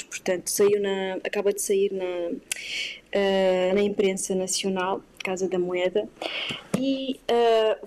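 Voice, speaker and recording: A woman guest talking about books, her first language not the one she speaks. Her pitch sits mid-range. She hesitates often, filling gaps with soft sounds and small laughs between phrases. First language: not Portuguese. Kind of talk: recommending books in conversation.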